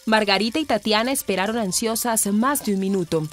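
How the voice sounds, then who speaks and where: A Colombian woman narrates a scripted radio serial. Her voice sits at a medium pitch, with music playing clearly behind it. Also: fairly quick.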